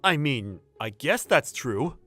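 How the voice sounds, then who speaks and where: Man playing animated, polite young junior employee. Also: medium pitch, takes it slowly, soft background music, exaggerated voice. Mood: flustered, wary. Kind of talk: manga dub dialogue and first-person narration.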